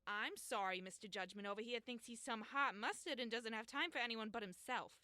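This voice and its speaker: A woman's voice: on the high side, a little fast, sharp tone, no echo. A woman playing a bubbly, vain floating soul in an audio comedy drama, chatty, sassy and melodramatic.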